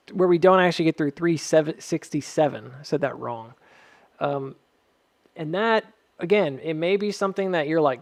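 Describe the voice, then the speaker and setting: A man's voice: fairly high pitch, a little mellow, no echo. A man giving casual, unscripted market commentary as a host, hedging and thinking aloud as he checks in with his listeners. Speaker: host of a stock chart analysis video.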